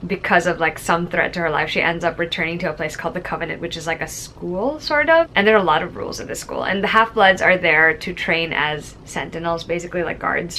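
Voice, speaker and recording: Bright-voiced, low-pitched woman book vlogger. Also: slight background noise. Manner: conversational, explanatory. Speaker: host of a book vlog. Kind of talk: casual book recommendation chat.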